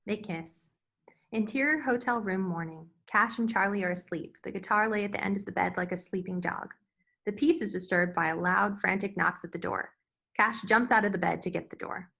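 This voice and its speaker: A woman's voice: medium pitch, moderate pace, creaky, pushed and bright. Female narrator for a screenplay table read, medium register, delivering scene directions.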